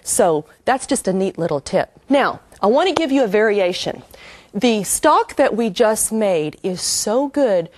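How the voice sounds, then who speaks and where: A woman's voice: medium pitch, big pitch swings, measured pace, darkish tone, no echo. A middle-aged woman hosting a cooking show, talking viewers through each step in a chatty, homey way while cooking at the stove. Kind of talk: cooking demonstration. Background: sizzling pan and stirring.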